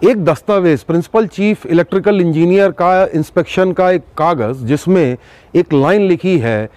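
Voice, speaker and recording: Higher-voiced man presenting a news exposé. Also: darkish tone, dry recording. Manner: emphatic, with dramatic pauses and rhetorical questions. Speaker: host of an investigative news show. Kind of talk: news exposé monologue to viewers.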